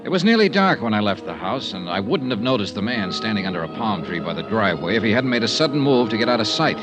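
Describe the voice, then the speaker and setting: An American man playing a dry, persistent insurance investigator in vintage radio noir style, with measured, matter-of-fact delivery. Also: fairly low pitch, big pitch swings, music in the background, low-quality recording. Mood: brisk narration. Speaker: lead actor and narrator.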